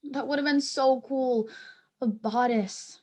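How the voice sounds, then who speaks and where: A woman plays an anxious schoolgirl in a stage play reading. The voice sits very high. The delivery is fretful and theatrical, treating trouble as a disaster. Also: steady tone, measured pace, a little mellow. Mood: dramatic, restless. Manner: fretful, dramatic. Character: anxious, dramatic schoolgirl dreading punishment.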